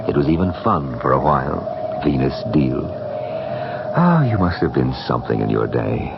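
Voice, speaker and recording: Restless proud guy, deep n theatrical, emphatic, muffled 70s radio play w music. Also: sing-song, moderate pace, gravelly, full character voice. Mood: brooding, defiant. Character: proud, restless man in love, haunted by imagination. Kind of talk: 1970s radio drama acting. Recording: dull 1970s radio broadcast.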